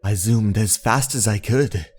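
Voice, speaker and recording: A man narrating a scripted horror story in a measured, suspenseful, dramatic manner. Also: lowish voice, a little mellow, husky, faint music behind.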